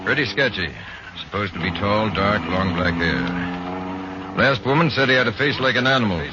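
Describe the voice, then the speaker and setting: An actor playing a brisk, hard-driving police chief, gruff and commanding in 1940s radio drama style. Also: male voice, on the low side, natural voice. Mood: urgent. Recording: noisy, muffled 1940s radio recording.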